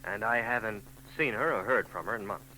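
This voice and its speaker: A man's voice: fairly low pitch, brisk, pushed and bright, slight background noise, low-quality recording. A man playing a shifty, evasive man in a 1940s radio drama, stammering when pressed. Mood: wistful. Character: shifty, nervous adult man, former lover.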